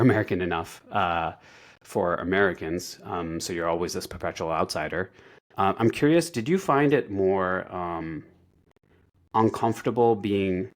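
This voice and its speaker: A man with a very low voice talks as a guest on a relaxed podcast. He speaks in a measured, reflective way. He often hesitates with small fillers as he works through a thought. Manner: thoughtful, measured, searching for words. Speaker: guest, karate instructor. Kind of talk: casual conversational interview.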